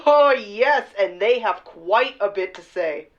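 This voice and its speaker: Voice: mid-range voice, expressive, slow, fairly bright tone, lo-fi sound. An actor playing a wry, agreeable podcast co-host making casual banter in a radio drama. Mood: amused.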